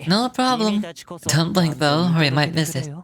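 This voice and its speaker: A man's voice: fairly high pitch, animated, moderate pace, fairly bright tone. An actor playing a cocky, teasing young male fighter in a video game, smug and mockingly polite. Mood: confident.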